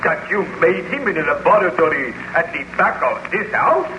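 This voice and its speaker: A noisy vintage radio recording of an officious town burgomaster. His highish voice swings animatedly, stiffly formal, dutiful and sceptical, in 1930s radio style. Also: very animated, normal speed. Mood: apologetic, wondering.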